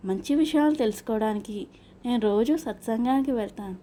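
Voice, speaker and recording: A woman's voice: fairly high pitch, a little fast, mellow, lightly acted. A woman voicing a simple, earnest man who owns a parrot in a children's story, explaining things respectfully. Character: earnest male parrot owner, simple and dejected.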